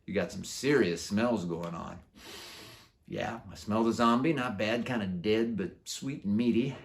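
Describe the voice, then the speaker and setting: A man's voice: lowish voice, unhurried, creaky. A man voicing a brash, boastful, streetwise male werewolf in a children's storybook, with slangy, chummy, showy talk. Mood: intrigued. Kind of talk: children's book character voice.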